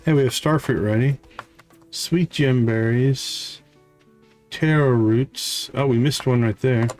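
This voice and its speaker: A man with a medium-pitched voice hosts a casual game stream at an unhurried pace. He thinks aloud, talking himself through each step as he goes. Faint music plays behind his voice. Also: a little mellow. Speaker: live game streamer, host. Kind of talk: casual live gameplay commentary, thinking aloud.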